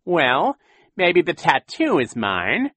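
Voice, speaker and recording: A man's voice: on the high side, wildly swooping pitch, slow, a bit bright, dry recording, pitched above the performer's own voice, heavily acted. A man voicing a boastful, cruel villainous con man in an audiobook, with a growling, mocking, exaggerated delivery. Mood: feigned innocence. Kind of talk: audiobook character voice.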